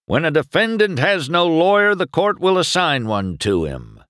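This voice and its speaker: A man's voice: on the low side, lively intonation, slow pace, fairly bright tone, no echo, studio quality, pitched above the performer's own voice. A man voicing a stern small-town sheriff car in an audiobook, barking out court business in an official manner.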